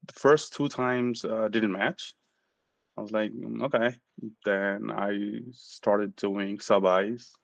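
A man's voice: lowish voice, steady tone, slow, gravelly, no echo. A man in his forties from Nepal, a physician telling his story in a casual interview, earnest and self-deprecating. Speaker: guest physician.

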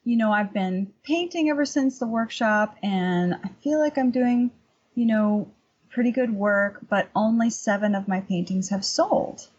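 A middle-aged woman chatting casually as an interview guest and painting teacher, telling anecdotes in an animated, emphatic way. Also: medium pitch, unhurried, breathy edge, muffled recording.

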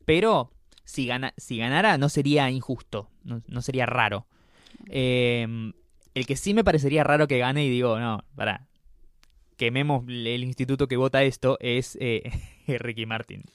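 Rioplatense man, middle pitch, dry close mic. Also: moderate pace, smooth, no room sound. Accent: Rioplatense Spanish. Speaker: podcast co-host. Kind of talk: casual chat about TV series.

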